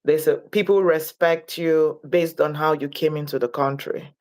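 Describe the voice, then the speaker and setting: A woman from Nigeria, speaking as a guest in an interview, reflective and deliberate in her delivery. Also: sits low, fairly even intonation, a little mellow. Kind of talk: interview conversation.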